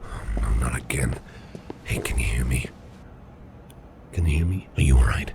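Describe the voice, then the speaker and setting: A man playing a kindly, protective businessman boss in an ASMR roleplay, speaking in a gentle, reassuring, gravelly murmur. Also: animated, normal speed, full character voice. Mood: worried, soothing. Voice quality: very deep, gravelly, breathy murmur. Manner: gentle, reassuring, softly spoken. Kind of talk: ASMR personal attention roleplay. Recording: clear background noise and ambience.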